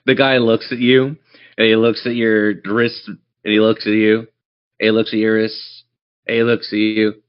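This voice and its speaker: A man's voice: lowish voice, level tone, moderate pace, smooth. Male game master, slightly muffled sound. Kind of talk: improvised tabletop game narration.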